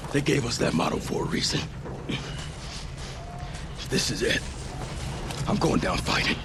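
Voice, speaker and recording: An actor playing a battle-hardened, loyal squad leader in a video game, urgent and commanding with a gravelly voice. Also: male voice, mid-range voice, exaggerated voice. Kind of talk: cinematic video game acting. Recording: loud music and vehicle noise behind.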